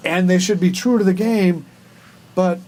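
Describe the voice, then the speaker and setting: A man's voice: sits high, moderate pace, darkish tone. A man hosting a casual, opinionated discussion and swearing now and then. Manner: profane. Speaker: talk show host. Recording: clear background noise.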